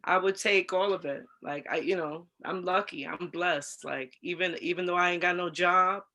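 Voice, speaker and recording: An American woman chatting and telling stories casually as a co-host, in an animated, slangy, expressive way. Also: on the low side, normal speed. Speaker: co-host of an online talk show. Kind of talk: casual chat and storytelling.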